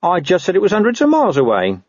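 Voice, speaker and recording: A man's voice: high-pitched, huge pitch swings, quick pace, no echo, lo-fi sound, voice pushed up, full character voice. A man voicing a wary, boastful talking dog with grumbling, streetwise speech in an audiobook. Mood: suspicious, grumbling. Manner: grumbling, cocky, uses rough slang and bad grammar.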